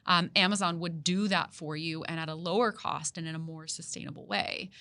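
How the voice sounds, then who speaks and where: A woman's voice: on the low side, steady tone, fairly quick, bright, forceful tone. A woman guest on a podcast explaining her work as a country manager, upbeat and articulate. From not from New Zealand. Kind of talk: interview answers.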